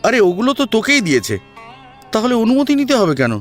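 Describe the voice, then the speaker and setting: Over soft background music comes a hot-headed, playful young man, acted by a male story reader. His pitch leaps about as he insists and coaxes, full of emotion, as in a radio-style story. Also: big pitch swings, a little fast. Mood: eager. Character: eager, teasing, hot-headed young grandson and devoted friend. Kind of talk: Bengali audio story acting.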